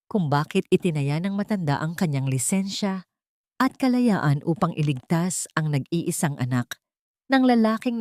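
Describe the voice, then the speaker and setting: A woman's voice: fairly low pitch, darkish tone, no room sound, clean studio sound. A woman narrating a scripted dramatic story in a solemn, melodramatic way.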